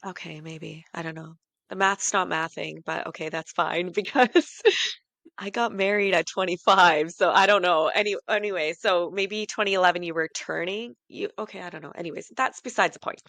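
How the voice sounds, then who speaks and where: Gravelly-voiced woman in her thirties, chatty. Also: on the low side, steady tone, normal speed, a bit bright, no room sound. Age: 30s. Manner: chatty, rambling, laughs at herself. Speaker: podcast co-host. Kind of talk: casual chat.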